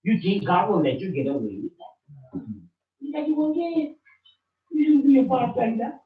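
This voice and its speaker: A man's voice: very high-pitched, very animated, moderate pace. A man in a small study room speaking emphatically and fiery, repeating his points in an impassioned rant. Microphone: far room camera. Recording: echoey, distant, dull room recording.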